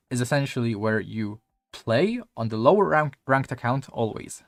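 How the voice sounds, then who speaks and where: Rambling Polish-accented game coach. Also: male voice, medium pitch, no room sound. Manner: rambling, filler-heavy, casual swearing. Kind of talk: casual gameplay video review.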